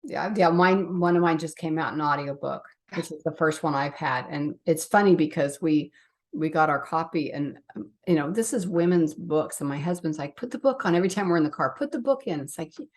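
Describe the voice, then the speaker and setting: A woman's voice: low voice, fairly quick. A woman hosting a podcast as a novelist, talking casually and chattily in a conversational interview.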